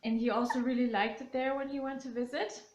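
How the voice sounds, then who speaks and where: A woman's voice: fairly high pitch, steady tone, fast, roomy sound, lo-fi sound, noisy background. A woman co-hosting a family vlog, talking casually with frequent ums, recorded on a phone camera. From Germany. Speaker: vlog co-host.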